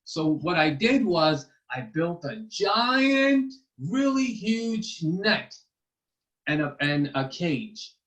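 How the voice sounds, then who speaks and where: A man as a clever boy storyteller, high-pitched. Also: fairly bright tone, dull audio, full character voice. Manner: drawn-out, emphatic, suspenseful delivery. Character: clever, funny boy storyteller spinning an endless tall tale.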